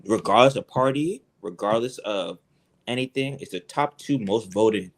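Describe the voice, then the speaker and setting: A young man speaks as a political candidate in a casual interview. His voice sits fairly low. He stays relaxed but hesitant and breaks into a nervous laugh now and then. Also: moderate pace. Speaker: congressional candidate.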